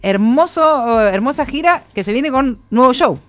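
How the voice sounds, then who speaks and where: A man's voice: very high-pitched, lively intonation, moderate pace. A man with an Argentine accent hosting a casual, chatty interview, asking informal and rambling questions. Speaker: interviewer, host.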